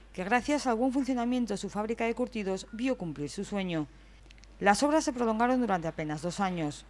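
Calm woman news voice-over. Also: medium pitch. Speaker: news reporter. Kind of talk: scripted voice-over report.

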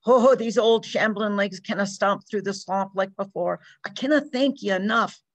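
A woman's voice: mid-range voice, moderate pace, dry recording, lightly acted. Woman doing an old farmer. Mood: grateful. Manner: homely, folksy dialect. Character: older lame farmer, grateful, rustic brogue.

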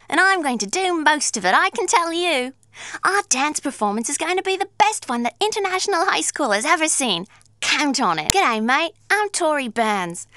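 Bright, high-pitched bold Australian teen girl. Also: no room sound, heavily acted. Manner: brisk, emphatic, self-assured. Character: Tori, energetic, bold, confident teenage dancer girl. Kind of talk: bubbly scripted game voice lines.

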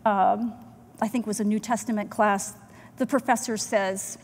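A middle-aged woman pastor giving a sermon from the pulpit in a church sanctuary, measured and earnest, with deliberate pauses. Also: medium pitch, fairly even intonation, slight background noise. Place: church sanctuary, in front of the chancel. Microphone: pulpit microphone, far camera. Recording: long church hall reverb.

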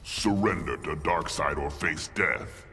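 An actor playing an imperious alien god-tyrant in a video game, with gravelly, pompous, commanding menace. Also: male voice, slow pace, pushed and bright, slight background noise, full character voice. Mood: cold menace. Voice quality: gravelly, very deep.

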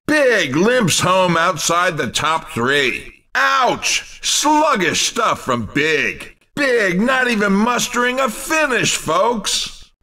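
A man playing an excitable, wisecracking race commentator in a video game, with a punchy, theatrical delivery. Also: extremely high pitch, distant mic, heavily acted. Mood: let down. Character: excitable, wisecracking male racing game announcer.

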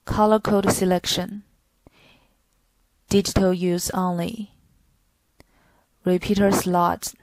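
A woman's voice: fairly low pitch, level tone, slow pace, long pauses, a little mellow, no echo, low-quality recording. A woman reading scripted product demo narration in a stilted, word-by-word manner.

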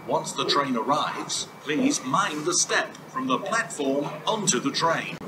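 A man giving calm, formal automated safety announcements at a railway station. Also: on the high side, slow pace, heavy background noise. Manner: calm, formal, even delivery. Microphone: station loudspeakers recorded from far off. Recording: very echoey platform speakers from far off. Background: station ambience.

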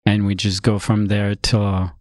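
A man's voice: fairly low pitch, completely flat delivery, measured pace, a little mellow, dry recording, clean studio sound. A man hosting a podcast, asking questions in a friendly, loose way with lots of fillers. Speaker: podcast host. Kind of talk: casual interview conversation. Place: studio room with table. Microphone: studio mic with headphones.

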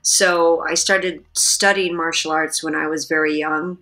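Middle-aged female guest, bright and clear-toned, thoughtful and explanatory, video call audio. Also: low voice, fairly even intonation, unhurried, low-quality recording. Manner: thoughtful, explanatory, with filler words. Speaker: guest, actress and martial artist. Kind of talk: interview answers. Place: indoors, plain room.

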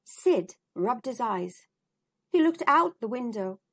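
A woman reading a children's storybook aloud in an expressive, deliberate way, addressing young listeners. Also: a little high, slightly rough.

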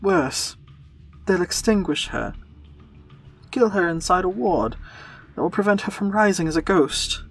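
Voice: mid-range voice, animated, measured pace, pauses often, breathy edge, rough recording. A performer voicing an anxious, earnest younger man confiding in his lover, in an audio fiction drama. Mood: grave, urgent.

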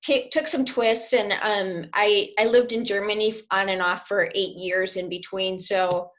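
A woman's voice: mid-range voice, fairly even intonation, unhurried, fairly bright tone. Chatty woman on a lo-fi video call. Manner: chatty, laughs often, hesitant restarts. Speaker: language student. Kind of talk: casual interview over video call. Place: small room at home. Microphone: laptop webcam on video call. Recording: dull lo-fi video call audio.

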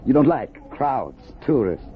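A man's voice: mid-range voice, big pitch swings, unhurried. An actor playing a polite, insinuating, sinister man hunting fugitives in a 1950s radio drama. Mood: slyly inviting. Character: smooth, sinister time-travelling pursuer posing as a tourist. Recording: muffled vintage radio recording with noise.

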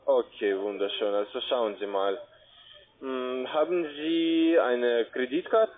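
A tinny telephone line carries a man's medium-pitched voice. He is a non-native German speaker working as a sales agent. His pitch is persuasive but halting, circling back over the same phrases. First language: not German. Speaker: call-centre sales agent. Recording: tinny, band-limited phone line.

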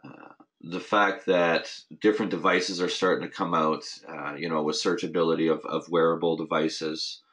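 A man with a notably low voice hosts a recorded webinar. He talks loosely and off the cuff, restarting sentences and leaning on fillers as he explains. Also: little pitch movement, moderate pace, fairly bright tone. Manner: casual, many restarts and "you know" fillers. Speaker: host of a weekly marketing update. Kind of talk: unscripted webinar talk.

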